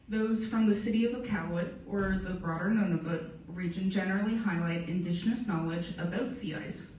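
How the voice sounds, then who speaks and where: A woman reading a prepared conference talk in a measured, formal way. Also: mid-range voice, darkish tone, slight background noise. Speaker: conference speaker. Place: conference hall. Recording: very echoey hall from far off.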